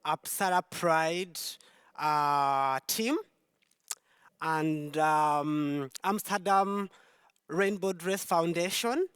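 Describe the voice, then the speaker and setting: A woman's voice: lowish voice, smooth, studio quality. A woman from Uganda, giving an emphatic, heartfelt personal testimony on a concert hall stage, repeating key phrases for weight. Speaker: speaker at a Pride event.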